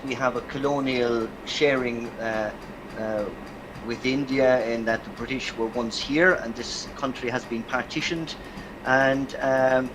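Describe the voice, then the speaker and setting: A man with an Irish accent giving an informal, reflective academic presentation in measured phrases over an online call. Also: medium pitch. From Ireland. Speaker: university academic guest speaker. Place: video call. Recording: noisy online call audio.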